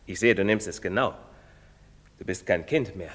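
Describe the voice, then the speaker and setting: An actor playing a pious, fatherly village priest who admonishes gently in a preacherly way. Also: deep voice, moderate pace, slightly rough. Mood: admonishing. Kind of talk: stage play recording. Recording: long hall reverb.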